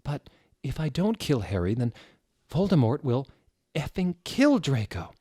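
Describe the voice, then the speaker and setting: A man's voice: mid-range voice, sing-song, a little slow, warm tone, breathy edge, no room sound, studio quality, pitched above the performer's own voice. A man voicing a melodramatic, foul-mouthed goth teenage girl, angry and overwrought, in a comic story reading. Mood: angry, distraught.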